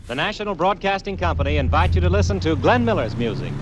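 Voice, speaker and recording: A man's voice: on the high side, lively intonation, quick pace, noisy background. An American man as a network radio announcer, giving a formal, polished, scripted big-band broadcast introduction. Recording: vintage broadcast over loud band music.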